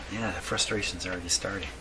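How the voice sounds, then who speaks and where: A man giving casual hands-on repair narration, halting and thinking aloud to himself as he works. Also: fairly low pitch. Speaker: host of a radio repair channel. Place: indoors at a home workshop. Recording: clearly noisy room recording.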